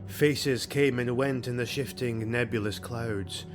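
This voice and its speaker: A man's voice: medium pitch, steady tone, a little slow. A man narrating a fantasy audiobook in a grave, theatrical, dramatic scripted style. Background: music.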